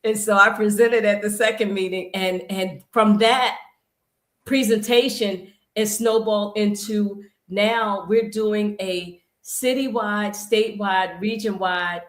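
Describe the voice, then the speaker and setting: A woman's voice: mid-range voice, steady tone, slow. Rambling woman guest in echoey room. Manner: rambling, with many restarts. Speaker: guest fitness professional. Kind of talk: live-stream conversation.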